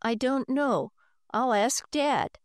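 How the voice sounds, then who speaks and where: An eager young boy from a storybook, his voice lifted high and bubbling as he pleads and shows off, as a woman reads him out. The words come slowly, with lots of rise and fall. The sound is close and dry. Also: very animated, no echo. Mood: excited.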